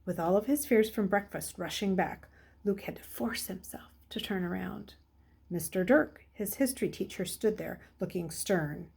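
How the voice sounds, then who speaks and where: A woman's voice: mid-range voice, moderate pace, creaky, darkish tone, slightly rough. A woman reading a children's novel aloud as a storyteller. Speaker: story reader.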